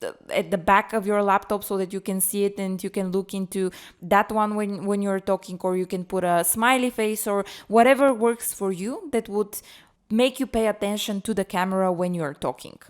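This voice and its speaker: A woman's voice: mid-range voice, normal speed. A woman co-hosting a podcast, explaining presentation tips in a careful conversational way. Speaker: podcast co-host, presentation coach.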